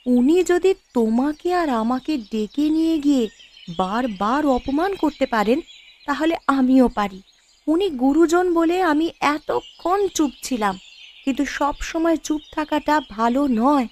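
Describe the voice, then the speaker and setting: A woman's voice: high voice, lively intonation, measured pace, darkish tone. A woman voicing a proud, outspoken poor young wife in a moral-tale cartoon, with emphatic, dramatic delivery. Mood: hurt, firm.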